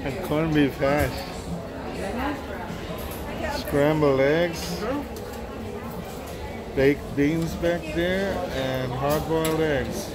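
A man narrating a casual walkthrough of a cruise ship buffet on his phone camera, relaxed, with frequent ums and uhs. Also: on the high side, drawn-out words, pauses often, over a noisy crowd. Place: large indoor ship buffet hall. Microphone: handheld phone camera.

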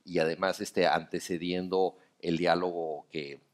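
Fast-talking Mexican man, low voice. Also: fairly even intonation. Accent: Mexican Spanish. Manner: fast, long flowing anecdotes. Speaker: festival panelist, writer. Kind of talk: onstage conversation. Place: dark theater stage, seated in armchairs.

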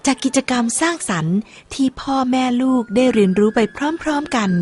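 A woman reading scripted documentary narration in a measured, polished way. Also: sits high, animated, darkish tone, breathy edge.

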